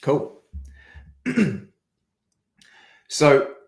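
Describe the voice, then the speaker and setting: A man's voice: fairly high pitch, very animated, drawn-out words, creaky. A man with a New Zealand accent, a sales coach giving an upbeat, structured webinar presentation over video call. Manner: upbeat, structured, walks through an agenda. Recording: echoey room audio.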